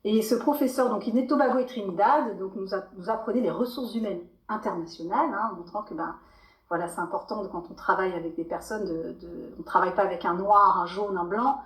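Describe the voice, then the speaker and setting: A woman's voice: mid-range voice, quick pace. French woman telling stories, echoey room. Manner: animated storytelling with many fillers and restarts. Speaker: guest. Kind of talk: casual podcast interview.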